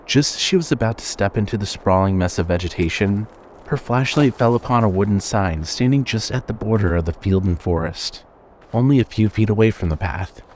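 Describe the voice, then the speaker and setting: Narrator, male: fairly low register, scripted horror storytelling, light background ambience under the voice. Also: brisk, darkish tone, slight background noise.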